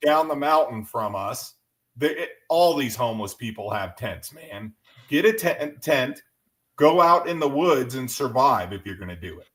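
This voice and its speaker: A man's voice: mid-range voice, expressive, normal speed, fairly bright tone. A man co-hosting a podcast, explaining animatedly in casual chat and often restarting his words. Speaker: podcast co-host.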